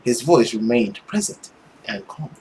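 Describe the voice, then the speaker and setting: A man's voice: medium pitch, big pitch swings, unhurried, a little mellow, slight background noise, poor audio. A man narrating a visual novel aloud in a dramatic storytelling style as he plays it. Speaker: video game let's player.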